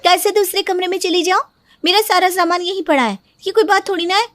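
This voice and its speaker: Woman acting expressive high-pitched young daughter-in-law. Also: a little fast, dry recording, studio quality, soft background music. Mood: angry, defensive. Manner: expressive, complaining and pleading. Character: young working daughter-in-law, lively and easily upset. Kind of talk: cartoon story dialogue.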